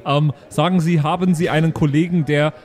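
A man's voice: fairly high pitch, fairly even intonation, brisk, a little mellow, natural voice. A man playing a naive, friendly adventurer, guileless and chatty, in an improvised tabletop audio game. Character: naive, friendly male adventurer named Norman.

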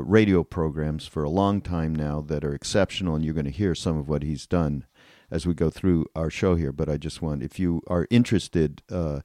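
A man's voice: deep voice, dark tone, no echo, studio quality. An older man hosting a podcast, reminiscing in a casual, rambling and informal way. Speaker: podcast host.

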